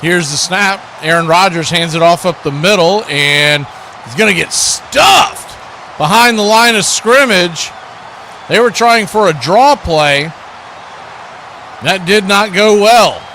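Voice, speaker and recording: A man does live football play-by-play. His voice sits high and has a bright, forceful edge. Faint noise runs behind him. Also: expressive, takes it slowly. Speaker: play-by-play sports commentator.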